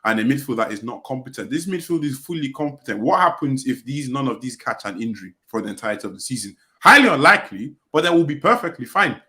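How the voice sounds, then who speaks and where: Bright, lively man pressing his point. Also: mid-range voice, fast, hardly any pauses. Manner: emphatic, sprinkles "like" and "bro". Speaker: podcast panelist. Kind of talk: casual football chat. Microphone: headphones on a video call.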